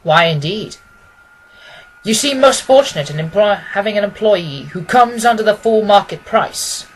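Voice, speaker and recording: A woman voicing a confident, quick-witted gentleman detective from a storybook, precise and amused. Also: medium pitch, expressive, a little hiss or hum, voice pushed up, natural voice, low-quality recording. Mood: wry. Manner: precise, expository, assured.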